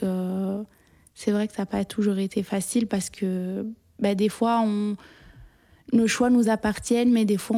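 Young female interviewee, mellow and level, informal, hesitant delivery. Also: mid-range voice. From Belgium. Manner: hesitant, searching for words. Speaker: interview guest. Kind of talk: casual, personal interview talk.

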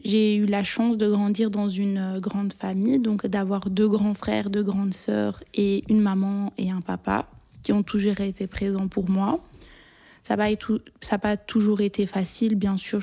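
A woman's voice: mid-range voice, flat delivery, measured pace, gravelly, dark tone. A young woman talks casually and personally as an interview guest, often searching for her words. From Belgium.

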